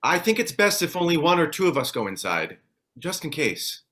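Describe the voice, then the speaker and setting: Casting: man as cautious, practical survivor, higher bright voice, audio drama read. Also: sing-song, normal speed. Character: wary, practical male survivor. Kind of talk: scripted drama table read.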